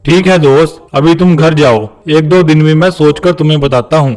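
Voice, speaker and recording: Chummy, higher-voiced male bird friend acted by a man. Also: normal speed, a little mellow, soft background music, close to an everyday voice. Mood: obliging. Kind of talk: Hindi children's cartoon voice.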